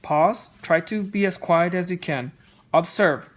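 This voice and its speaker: A woman reading a children's story aloud and offering casual, gentle encouragement as a parent. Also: lowish voice, unhurried. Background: music.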